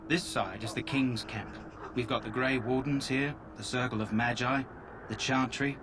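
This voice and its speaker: An actor playing a plain-spoken army soldier in a camp, chatty with a dry, folksy humor. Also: male voice, medium pitch, fairly even intonation, normal speed, crowd noise, close to an everyday voice. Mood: matter-of-fact. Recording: game dialogue over camp ambience.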